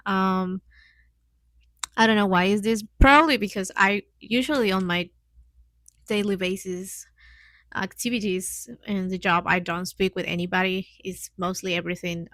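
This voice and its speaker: A woman's voice: medium pitch, fairly bright tone, no echo. Young Mexican woman podcasting in accented English. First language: Spanish. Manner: hesitant learner's English with frequent little giggles. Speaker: podcast host. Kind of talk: casual solo podcast talk.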